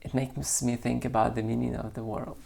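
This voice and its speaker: A man whose first language is not English, reading his own poem and then musing about it slowly and hesitantly, searching for words. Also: mid-range voice, level tone, vocal fry, a little mellow. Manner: slow, searching, hesitant with many pauses. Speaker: poet and channel creator.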